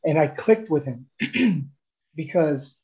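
A young man from El Salvador tells his own story in a loose, rambling way, often stopping to restart. His voice sits a little high but sounds mellow and warm. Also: animated, takes it slowly. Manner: rambling, with many fillers and restarts. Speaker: guest giving a testimonial. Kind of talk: casual testimonial chat.

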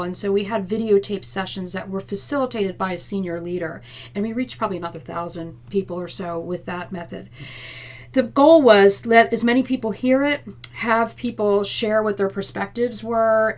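A woman speaks as a senior nursing leader being interviewed. Her delivery is conversational and earnest, and she explains things step by step at a mid-range pitch. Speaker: chief nursing officer, interview guest. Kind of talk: conversational interview answers about workplace plans.

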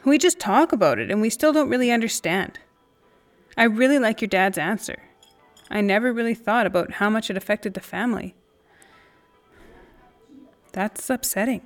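A woman's voice: fairly high pitch, expressive, moderate pace, long pauses, soft background music, lightly acted. A woman acting as an earnest, indignant friend talking emphatically over coffee in a naturalistic audio drama. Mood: frustrated.

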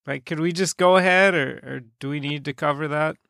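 A man with a somewhat high voice chats as a podcast co-host. His delivery is offhand and deadpan. The recording is dry and free of echo. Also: brisk, gravelly. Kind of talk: casual podcast chat. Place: recorded remotely over a voice chat app.

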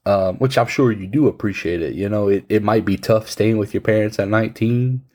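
Deep-voiced man in his thirties, frank. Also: brisk, darkish tone. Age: 30s. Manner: frank, advice-giving, swears casually. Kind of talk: casual chat.

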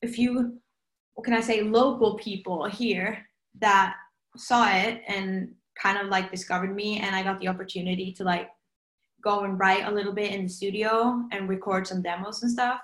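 A woman's voice: medium pitch, steady tone, moderate pace, a bit bright. Young norwegian singer girl, talks casual, tons of "like", kinda roomy echo. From Norway. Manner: chatty, says "like" constantly. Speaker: singer being interviewed. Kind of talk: casual interview chat.

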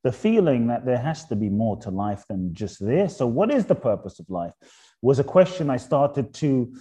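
A middle-aged man from Ghana, a guest author speaking in a thoughtful, halting way about spiritual ideas on a podcast. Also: mid-range voice, sing-song, warm tone, slightly rough. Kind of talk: reflective podcast conversation.

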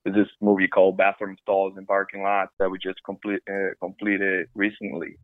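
A man from Brazil with a Brazilian Portuguese accent, a film director being interviewed, talking in a playful, animated way with halting restarts. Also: sits low, dry recording. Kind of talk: casual interview chat. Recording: dry tinny phone-like line.

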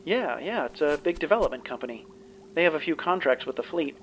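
A man's voice: expressive, brisk, rough recording. A man playing a dry, practical starship engineer in an audio drama, wry and conversational. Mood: puzzled, wry. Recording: faint ship rumble behind.

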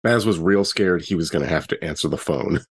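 A man's voice: sits low, brisk, slightly rough. A man playing a tabletop game, making casual, joking asides about his character.

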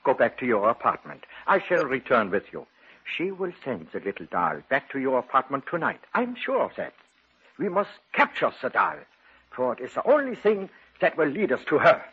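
An actor playing a solemn, learned occult researcher who explains things formally and ominously in an old radio drama. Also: male voice, medium pitch, very animated, moderate pace, slightly rough. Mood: ominous, commanding. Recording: muffled tinny 1940s-50s radio broadcast.

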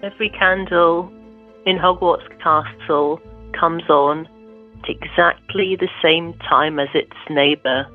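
Slow measured narrator woman, lo-fi sound. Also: deep voice, fairly even intonation, soft background music. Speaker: improv comedy podcast performer. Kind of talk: improvised descriptive narration. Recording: dull, lo-fi sound.

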